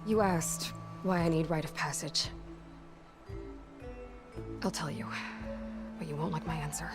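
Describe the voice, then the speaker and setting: A woman's voice: deep voice, a little slow. A woman playing a determined young female adventurer in a video game, speaking in a direct, dry, guarded way. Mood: grave. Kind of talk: scripted video game cutscene dialogue. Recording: music behind the voice.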